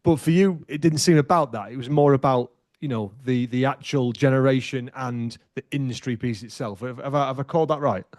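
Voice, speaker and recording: A man's voice: mid-range voice, a little fast, few pauses, darkish tone, no echo. Chatty forty-year-old man, dry sound. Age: 40s. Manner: chatty, loose with many restarts and fillers. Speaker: podcast host. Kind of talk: casual interview chat.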